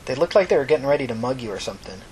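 A man's voice: medium pitch, lively intonation, very fast, a little mellow, a little hiss or hum, lightly acted, low-quality recording. A man voicing a blunt, slangy, streetwise teenage runaway girl in a fiction reading. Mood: casual, modest.

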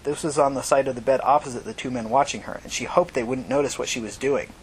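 A man's voice: steady tone, fast. A man narrating dramatic fiction as an audiobook reader. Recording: noisy recording with low rumble.

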